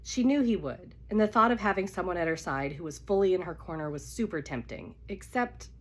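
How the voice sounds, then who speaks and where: A woman's voice: fairly low pitch, lively intonation, fairly quick. A woman with a cold reads romance fiction aloud in a casual, unedited way. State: congested with a cold. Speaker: live reader of romance novels. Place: at home by a fire.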